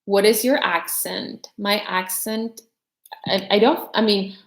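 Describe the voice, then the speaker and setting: A woman's voice: medium pitch, steady tone, takes it slowly, reverberant. Chatty young woman, mixed American-tinged accent. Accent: mixed English accent, mostly American-influenced. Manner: chatty, rambling, many "like" fillers. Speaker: vlogger answering viewer questions. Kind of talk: casual livestream Q&A.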